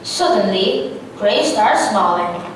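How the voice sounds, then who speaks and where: A woman's voice: animated, takes it slowly. A young woman narrating a scripted story on stage as part of a readers' theatre performance. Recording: echoey hall, distant mic, faint rumble.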